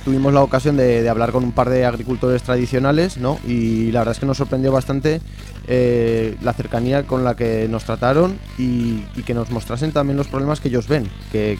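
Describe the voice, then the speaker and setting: Spanish man, mid-pitched, hesitant radio guest. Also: a little mellow. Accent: Spanish (Spain). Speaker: interview guest, activist. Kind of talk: spontaneous interview talk.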